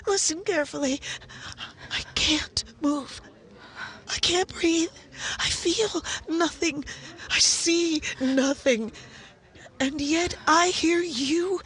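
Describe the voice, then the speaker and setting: A young bride sealed alive in her coffin. Her voice is extremely high and drawn out, anguished and pleading. It is played in the manner of 1930s horror radio drama. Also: a bit bright. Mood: desperate. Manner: slow, anguished, tearful pleading.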